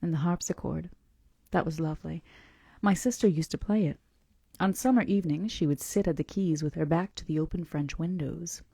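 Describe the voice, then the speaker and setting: Woman voicing a gentle, mellow, melancholy male vampire. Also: low voice, gravelly, slightly rough, dry recording, exaggerated voice. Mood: wistful, reflective. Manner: gentle, measured, confidential. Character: calm melancholy male vampire recounting his past. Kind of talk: audiobook-style character reading.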